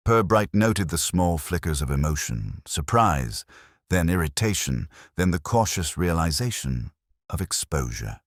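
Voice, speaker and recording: Very deep, husky male storyteller. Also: very animated, measured pace, no echo, studio quality. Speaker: narrator. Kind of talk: scripted audiobook-style mystery narration.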